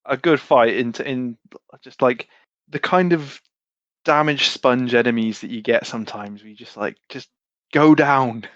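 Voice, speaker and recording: A man chatting casually as a podcast player, repeating words haltingly and swearing freely. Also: medium pitch, a bit bright, dry recording, dull audio. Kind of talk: casual post-game chat.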